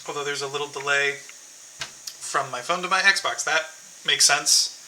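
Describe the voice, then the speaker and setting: Man, game streamer, casual commentary, slow talk, faint room noise. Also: on the high side, sharp tone, low-quality recording. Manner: thinking aloud, many fillers and restarts, talks to chat.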